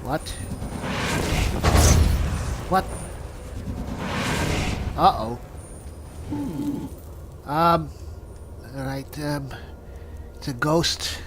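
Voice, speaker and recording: A man doing in-character roleplay commentary over a video game, halting and muttering to himself. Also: fairly high pitch, background noise. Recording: music behind the voice. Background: game music.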